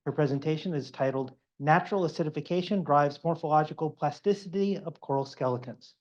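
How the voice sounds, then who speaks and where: A man hosting a seminar, reading a formal introduction of the guest speaker in a warm, formal manner. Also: fairly high pitch, a little fast. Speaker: faculty host introducing the speaker. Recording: dull lo-fi lecture recording.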